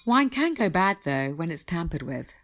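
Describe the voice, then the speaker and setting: A woman playing a nagging, sharp-tongued wife with a bossy, biting manner in a radio drama. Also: fairly low pitch, sing-song, normal speed, slight background noise. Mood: exasperated.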